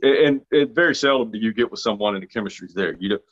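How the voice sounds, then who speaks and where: A man's voice: lowish voice, fast, a bit bright. A man from Arkansas, an actor being interviewed, talking casually with frequent restarts and self-deprecating remarks. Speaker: actor guest. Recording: dull lo-fi sound.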